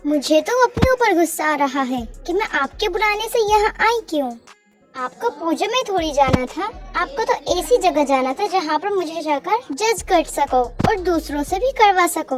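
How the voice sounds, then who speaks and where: A woman's voice: extremely high pitch, lively intonation, measured pace, over music. A woman voicing an insolent, rebellious young married daughter in a cartoon story, snapping defiantly and mixing in English. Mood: angry, defiant.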